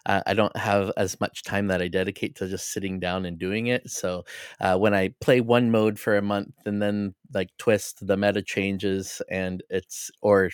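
A man with a low, smooth voice talks casually as a gaming podcast co-host. He is measured and thoughtful, and the sound is dry and close. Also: little pitch movement, no echo.

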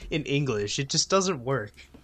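A man's voice: medium pitch, lively intonation, a little slow, fairly bright tone. A young man hosting a casual music chat, eagerly explaining song meanings and styles. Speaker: podcast host.

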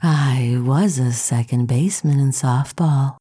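Heroine, played by a woman: low, slow, breathy-husky voice, cool and level-headed, audio drama. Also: mellow, exaggerated voice. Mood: cool, measured.

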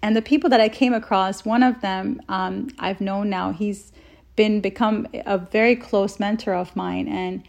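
A woman's voice: mid-range voice, steady tone, a little slow, vocal fry, a little mellow. A woman from Saudi Arabia giving earnest, wordy, enthusiastic answers as an interview guest in a conversational style. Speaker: interview guest, health researcher.

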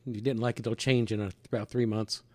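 A man's voice: fairly low pitch, flat delivery, fairly quick, a little mellow, no room sound. A man of fifty-eight from Virginia, the podcast's technical co-host, with modest, self-deprecating humor. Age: 50s. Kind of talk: casual chat.

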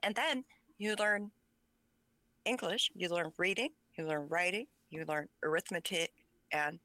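A woman speaking English as a second language, with Pennsylvania Dutch as her first, talks as an interview guest. Her voice sits low for a woman but comes across bright and forceful. She speaks with passion and emphasis, recorded dry and close with no room sound. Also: unhurried, no room sound. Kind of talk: conversational interview.

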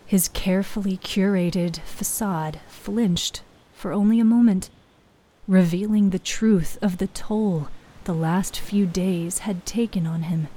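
A woman's voice: medium pitch, slow, warm tone, rough recording. A woman narrating scripted fiction in a dramatic storytelling style. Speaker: author and narrator.

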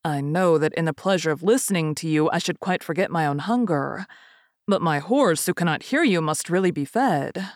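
A woman's voice: sits low, animated, normal speed, dry recording, studio quality. A woman voicing a proud young storybook prince, formal and courtly in his speech but hungry and impatient. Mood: pleading, polite.

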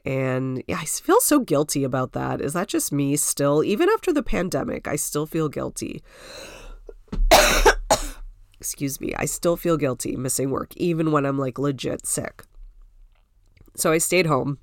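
A woman's voice: deep voice, big pitch swings, unhurried, long pauses, dry recording, clean studio sound. A woman hosting a solo podcast from home, congested from a cold, chatting in a rambling way with lots of "like" and "you know". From Canada. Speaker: podcast host. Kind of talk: casual solo podcast chat.

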